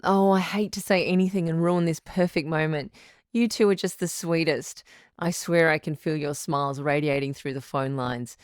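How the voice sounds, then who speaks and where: A woman playing a supportive, frank doctor who hosts a radio advice show in an audio drama, measured and reassuring. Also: low-pitched, fairly even intonation, no echo, clean studio sound, lightly acted. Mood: delighted. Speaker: radio call-in host.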